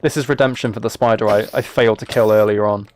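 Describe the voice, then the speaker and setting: A man's voice: fairly low pitch, steady tone, fast talker, a little mellow, slight background noise. A British man chatting casually on a game livestream, talking to viewers in a mock-exasperated, self-deprecating way. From United Kingdom. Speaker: live streamer.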